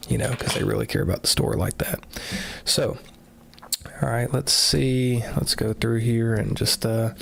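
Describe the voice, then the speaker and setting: A man casually walking through game patch notes live, a little nasal after a cold, talking in a relaxed way with lots of fillers. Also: on the low side, gravelly. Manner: relaxed, filler-heavy, offhand commentary. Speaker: gaming content creator.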